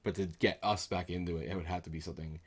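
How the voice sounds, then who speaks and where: A man's voice: low-pitched, fairly even intonation, quick pace, slightly rough. A man chatting casually as a co-host on a video game talk show.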